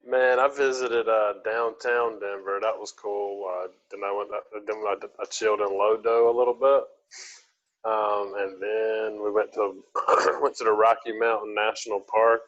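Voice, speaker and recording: Low-voiced man rambling through casual stories. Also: little pitch movement, measured pace, fairly bright tone. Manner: loose, rambling storyteller with hesitant restarts. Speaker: podcast host. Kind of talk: casual chat, rambling anecdotes. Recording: dull lo-fi recording.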